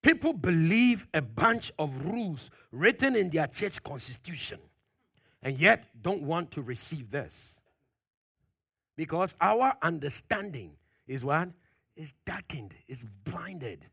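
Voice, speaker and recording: A man preaching a sermon, pressing his points with questions to the congregation, loud at the peaks and dropping low and quiet at phrase ends. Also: big pitch swings, slow, gravelly, breathy edge, dry recording, clean studio sound. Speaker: pastor.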